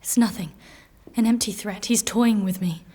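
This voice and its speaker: An actress playing a defiant, haunted fantasy warrior in an audio drama, terse, intense and hushed. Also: female voice, medium pitch, normal speed, husky, natural voice. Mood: grim, bitter.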